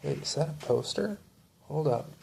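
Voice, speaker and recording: Guy with a gravelly voice, rambling thru his book collection, lots of ums and uhs. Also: on the high side, moderate pace, a little hiss or hum. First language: English. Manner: relaxed, rambling with many fillers. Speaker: collector showing books. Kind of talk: casual show-and-tell.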